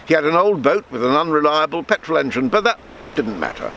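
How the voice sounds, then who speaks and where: A man reading his own written story aloud in a measured storytelling manner, with small stumbles and self-corrections. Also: fairly high pitch, very animated, vocal fry, bright, forceful tone, slight background noise.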